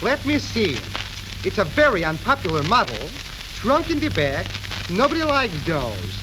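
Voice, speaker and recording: A man's voice: sits high, wildly swooping pitch, unhurried, heavily acted. A man playing a car dealer's fault-finding young son, clipped and dismissive, in a 1930s radio comedy. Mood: dismissive haggling. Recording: crackly, hissy 1930s radio recording.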